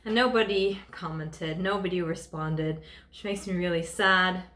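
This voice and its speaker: A woman's voice: fairly low pitch, fairly even intonation, unhurried, fairly bright tone. A young Dutch woman with a light Dutch accent, hosting a chatty, giggly Q&A vlog with her partner. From Netherlands. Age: 20s. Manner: giggly, laughs often, chatty. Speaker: vlogger. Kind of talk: casual Q&A chat.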